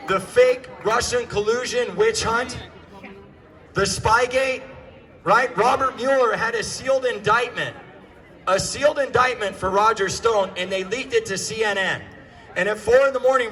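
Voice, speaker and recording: Man, American accent, high-pitched, sharp bright tone, activist rally speech, emphatic repetition, projected delivery. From United States. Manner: emphatic, repeats key phrases for effect; projected, often shouting. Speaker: political activist addressing a rally.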